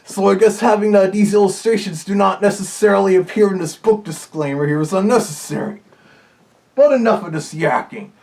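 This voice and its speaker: A man's voice: high voice, a little fast, darkish tone. A man putting on the voice of a male Big Bad Wolf, chatting casually as a reviewer with fannish asides. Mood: chatty.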